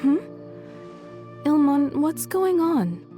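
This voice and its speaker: An actress voicing a gentle, courteous young mother in a video game, tender and a little regretful. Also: high-pitched, sing-song, extremely slow, faint music behind, heavily acted. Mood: puzzled. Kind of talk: English video game voice acting.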